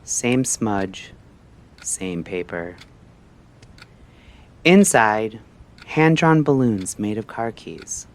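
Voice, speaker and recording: A man narrating a scripted story like a late-night radio host, in a measured, theatrical way with short phrases and pauses. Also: lowish voice, big pitch swings.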